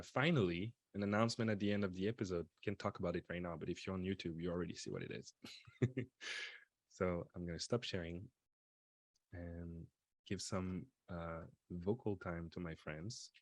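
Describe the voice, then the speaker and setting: A man's voice: low-pitched, normal speed. A man hosting a podcast on a video call, talking in an upbeat, easygoing way. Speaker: podcast host. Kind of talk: casual video call chat.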